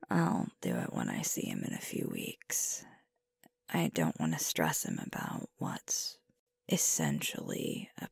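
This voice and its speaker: A woman playing a sweet, earnest young werewolf pack leader in an intimate ASMR girlfriend roleplay, breathy and tender, close to the microphone. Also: a bass voice, dry recording, full character voice. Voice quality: breathy, whispery. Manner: slow, tender, hesitant, with pauses. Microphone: close microphone, dry.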